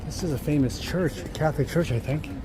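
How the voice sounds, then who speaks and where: A man's voice: on the high side, a little fast. A man giving a casual travel vlog commentary as he walks through a city, musing aloud with lots of fillers. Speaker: travel vlogger. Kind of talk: casual walking-tour commentary. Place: outdoors on busy city streets. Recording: outdoor street noise. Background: passing traffic.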